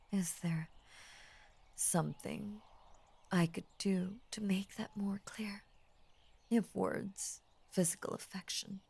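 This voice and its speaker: A woman voicing an ancient, possessive lamia in intimate roleplay audio, tender and formal, with a breathy husky voice that often drops into a whisper. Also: lowish voice, heavily acted. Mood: reassuring, tender. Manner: slow, tender, formal, hushed obsessive devotion. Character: ancient possessive lamia, lovestruck, devoted and murderous.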